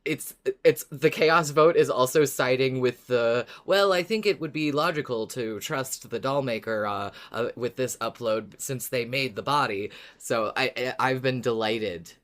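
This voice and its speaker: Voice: medium pitch, unhurried, hardly any pauses, fairly bright tone. Easygoing, agreeable podcast co-host. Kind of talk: casual chat.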